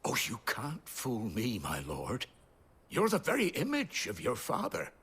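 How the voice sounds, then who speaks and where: A husky, hugely animated village mayor. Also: male voice, medium pitch, heavily acted. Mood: awed, delighted. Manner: animated, unhurried, swooping. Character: effusive, deferential older village mayor, delighted. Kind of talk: video game cutscene acting.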